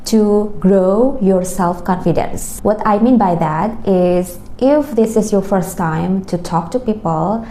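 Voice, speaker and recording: Slow-speaking Indonesian woman tutor, echoey room. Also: medium pitch, dark tone, slight background noise. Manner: slow, careful, explaining step by step. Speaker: language tutor. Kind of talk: talking-head lesson with tips.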